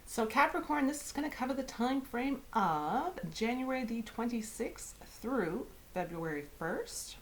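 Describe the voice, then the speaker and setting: A woman's voice: fairly high pitch, expressive, a little hiss or hum. Woman with a head cold reading tarot. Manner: soft, unhurried, with whispered invocations. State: head cold, raspy throat. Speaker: tarot reader.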